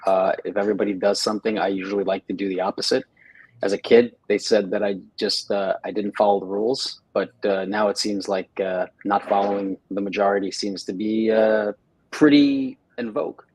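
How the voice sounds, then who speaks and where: Low-voiced man, flat delivery. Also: slightly rough, dry recording, poor audio. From Russia. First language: Russian. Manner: halting, many fillers and restarts. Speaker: guest. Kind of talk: casual interview chat. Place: home studio, live stream.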